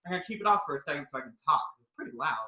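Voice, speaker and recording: A science presenter with a bright, forward voice gives a casual live demonstration for kids. The delivery is chatty and playful, and the room adds noticeable echo. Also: mid-range voice, lively intonation, racing. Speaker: science show presenter for children. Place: indoor room, live online video call. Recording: roomy echo.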